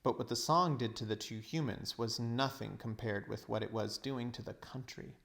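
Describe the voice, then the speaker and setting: A man with a medium-pitched voice narrates a children's storybook. His phrasing is deliberate and expressive, made for reading aloud. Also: gravelly.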